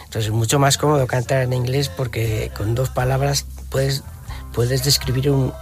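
A man who sings, interviewed in Spanish in a casual radio talk, speaking haltingly with many fillers and repeated words. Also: medium pitch, fairly even intonation, faint music behind. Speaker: singer and interviewed musician.

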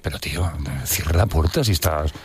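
A middle-aged man hosting a radio show, telling crude anecdotes in a lively, joking way. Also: a bass voice, a little fast. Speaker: radio host. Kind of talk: casual radio chat.

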